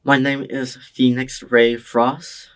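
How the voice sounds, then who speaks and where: A young man from China answering oral history interview questions in a slow, hesitant, soft-spoken way. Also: medium pitch, little pitch movement. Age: young adult. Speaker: interviewee, community college student.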